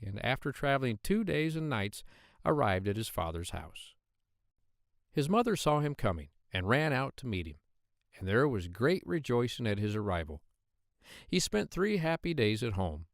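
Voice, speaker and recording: Man reading a children's tale, lively intonation. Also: lowish voice, no room sound, studio quality. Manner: expressive storytelling. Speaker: audiobook narrator of children's tales. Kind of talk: scripted storybook narration.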